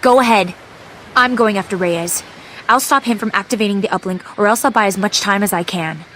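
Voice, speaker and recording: A woman's voice: medium pitch, fairly quick, a bit bright, a little hiss or hum, lightly acted. An actress playing a determined young female mutant heroine, speaking in a defiant, urgent way in a video game cutscene. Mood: resolute.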